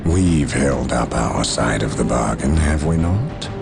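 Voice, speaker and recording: Sly polite game villain, super deep creaky voice, formal and measured, music behind him. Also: male voice, expressive, exaggerated voice. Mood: cool, persuasive. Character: cunning, smoothly courteous villain, a male dark conspirator. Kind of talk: video game cutscene acting.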